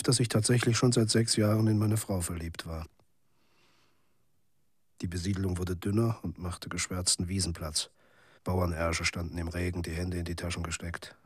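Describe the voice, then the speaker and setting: Radio drama lead: world-weary cynical reporter, low-voiced, laconic first-person narration, very dry close studio sound. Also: male voice, husky, dry recording. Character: weary, cynical male journalist in a bleak near-future city. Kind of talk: scripted radio drama monologue.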